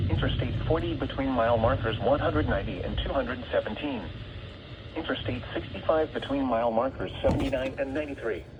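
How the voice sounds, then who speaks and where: A synthetic man's voice reads formal scripted weather warnings over weather radio in a measured, impersonal way. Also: mid-range voice, muffled recording. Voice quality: synthetic text-to-speech voice. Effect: weather radio broadcast. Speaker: automated weather radio announcer. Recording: noisy weather radio picked up in room.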